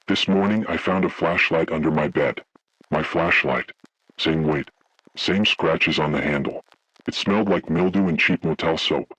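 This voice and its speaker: A man voicing a haunted, grave survivor, narrating a scripted first-person horror story in slow, deliberate, ominous phrases with a gravelly, husky voice. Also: extremely low pitch, a bit bright, no echo, exaggerated voice, poor audio. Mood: grave dread. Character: haunted man recounting childhood motel horror, grave, dread-filled.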